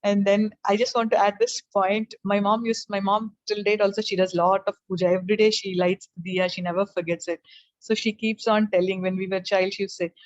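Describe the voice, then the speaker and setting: A middle-aged woman from South India whose first language is Telugu, speaking softly over a video call with many fillers as she shares her personal story. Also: medium pitch, little pitch movement, brisk, no room sound. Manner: soft-spoken, rambling, with frequent fillers and small laughs. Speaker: guest presenter. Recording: dull lo-fi call audio.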